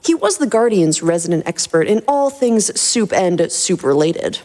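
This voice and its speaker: A woman's voice: fairly low pitch, expressive, normal speed, mellow. A woman reading scripted story narration expressively as a podcast host.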